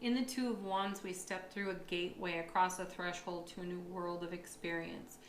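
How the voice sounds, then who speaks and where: A woman's voice: fairly low pitch, fairly even intonation, normal speed. Woman tarot reader, slightly froggy and tired in the voice, upbeat and reassuring, recorded in a roomy space with faint background hum. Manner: upbeat and affirming, with thoughtful asides. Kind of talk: monthly astrology tarot reading. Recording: echoey room with faint ambience.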